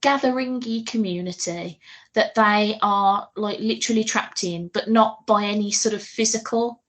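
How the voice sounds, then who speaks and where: A woman's voice: mid-range voice, moderate pace, fairly bright tone. Giggly, chatty woman. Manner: giggly, chatty, frequent swearing. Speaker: podcast co-host. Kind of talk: casual podcast chat.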